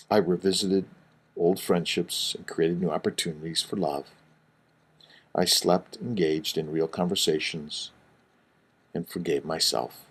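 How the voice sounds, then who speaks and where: A middle-aged man reads his own book aloud in a deep, husky voice. He speaks slowly and earnestly, in a soothing way. Each phrase sinks gently at its close. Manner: slow, soothing, earnest delivery with falling phrase endings. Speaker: fertility doctor reading his book.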